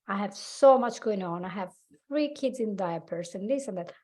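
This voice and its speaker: A woman's voice: medium pitch, expressive, moderate pace, darkish tone. A woman in her forties with an Italian accent, a coach talking animatedly as a podcast guest, laughing often and speaking slightly broken English. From Italy. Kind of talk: casual interview chat.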